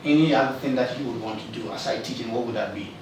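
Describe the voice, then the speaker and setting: A man's voice: medium pitch, very fast. A man from Ghana hosting a casual interview, asking questions with short, teasing remarks. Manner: asks questions, gives short backchannels, teasing. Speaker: interviewer, host. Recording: echoey room, distant mic, faint noise.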